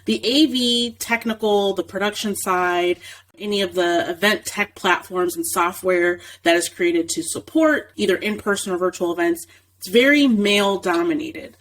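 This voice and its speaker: A woman's voice: mid-range voice, moderate pace, fairly bright tone, poor audio. Chatty woman podcast host. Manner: friendly, chatty, gets stuck on a word and asks the guest for it. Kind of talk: interview host, casual chat.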